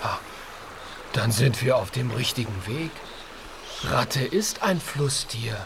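Children's radio play: thoughtful curious piglet, very unhurried, earnest, ambient noise behind. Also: male voice, mid-range voice, expressive, gravelly. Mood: wondering.

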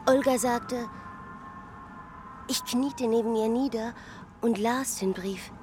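An actress in a German radio play playing a sister who recalls events intensely, in deliberate, fragmented phrases. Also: female voice, a little high. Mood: tense recollection. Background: music.

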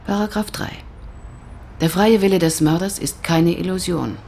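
Cool, low-voiced female detective. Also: normal speed, vocal fry, darkish tone, slight background noise. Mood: cool, probing. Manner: insistent, matter-of-fact questioning. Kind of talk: naturalistic German radio drama acting.

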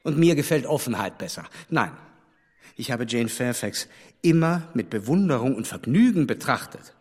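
An actor playing a frank, firm, dignified gentleman, speaking candidly and emphatically in a literary radio play. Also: mid-range voice, very animated, normal speed, slightly rough. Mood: frank, denying. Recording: studio radio play with hall reverb.

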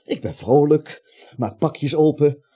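Radio comedy role: timid businessman, high husky voice, whining and stammering protests. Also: takes it slowly. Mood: defensive, exasperated. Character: timid, grumbling, flustered male businessman roused at night. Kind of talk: comic radio drama acting. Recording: dull, lo-fi radio play recording.

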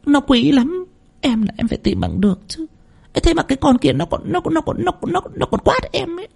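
A man putting on a shrill scheming concubine. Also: sing-song, a little fast, creaky, a little mellow, full character voice. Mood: whiny pleading. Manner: shrill, whiny, bossy. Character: scheming shrill young concubine, wheedling, venomous.

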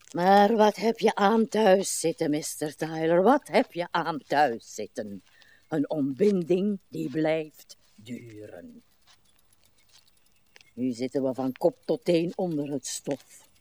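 A weary old woman in a radio drama. She speaks very slowly and theatrically, her pitch swinging widely. Her manner is querulous, sharp-tongued and full of self-pity. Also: expressive, heavily acted. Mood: gloomy. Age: older.

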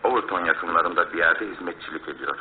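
An actor playing a methodical, cautious police inspector in a radio drama, reporting in a formal, measured way. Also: male voice, sits low, close to an everyday voice. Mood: matter-of-fact. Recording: phone-like lo-fi radio broadcast, faint noise.

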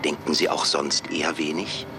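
An actor playing a cold, blunt AI job interviewer in a radio play, speaking formally and condescendingly. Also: male voice, very deep voice, level tone, moderate pace, noisy background. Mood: cool, condescending. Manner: formal, clipped, condescending questioning.

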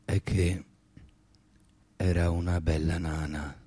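An actor playing a dead man's spirit, a wistful, shameless womaniser in a radio play, laconic and repeating himself in a dark, husky voice. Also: deep voice, little pitch movement, heavily acted. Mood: wistful, unrepentant. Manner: slow, repetitive, laconic. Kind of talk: radio theatre acting.

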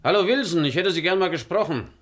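An actor playing a smooth, calculating corporate manager in a German radio drama, polite and insinuating. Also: male voice, high voice, expressive, quick pace, fairly bright tone. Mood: polite, businesslike.

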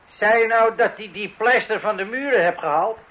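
A man's voice: sits high, normal speed, pushed and bright. A man playing a stubborn, rule-bound refuse collector with a blunt manner. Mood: incredulous. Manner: blunt, colloquial, insistent. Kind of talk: radio play acting.

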